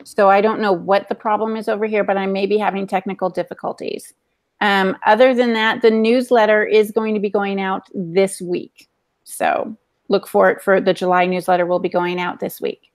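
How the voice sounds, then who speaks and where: A woman's voice: medium pitch, flat delivery, moderate pace. A woman co-hosting a podcast, talking in a casual, conversational style. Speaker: podcast co-host. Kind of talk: casual chat.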